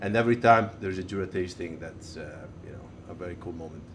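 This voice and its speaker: A man's voice: low voice, fast talker, a little hiss or hum. A man who represents wineries, introducing himself on a podcast in a rambling, self-mocking way. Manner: self-deprecating, rambling, chuckles. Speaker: wine importer guest.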